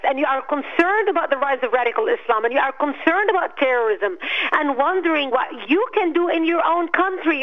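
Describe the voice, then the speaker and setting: A woman's voice: high voice, lo-fi sound. Passionate woman. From Lebanon. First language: Arabic. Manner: passionate, emphatic, rallying. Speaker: guest, activist. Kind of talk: interview answer, speech-like appeal.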